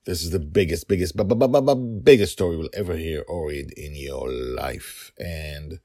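Male podcast host and writer: very low, creaky voice, informal, emphatic, thinking out loud. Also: moderate pace, no room sound, clean studio sound. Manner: rambling, emphatic, thinking aloud.

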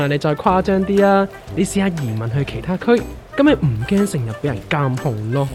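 A woman's voice: sits low, lively intonation, normal speed, a little mellow, soft background music. A woman with a Hong Kong Cantonese accent playing a blunt, teasing co-worker in a comedic audio drama, given to mock-serious exaggeration.

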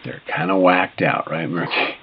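A man's voice: low voice, steady tone, moderate pace. A man hosting a live radio show in a casual, rambling, jokey way, with hesitations and frequent chuckles. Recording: dull lo-fi radio sound, clear background noise.

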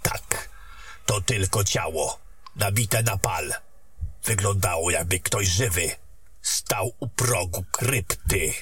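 A man playing a calm, seasoned wizard mentor in a fantasy audio drama, speaking in measured, terse instructions with a husky voice. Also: sits low, a little hiss or hum. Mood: wary, guarded.

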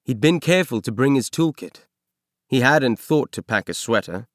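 Man, audiobook narrator, mid-range pitch, measured expressive delivery, science fiction storytelling, close dry recording. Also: no echo.

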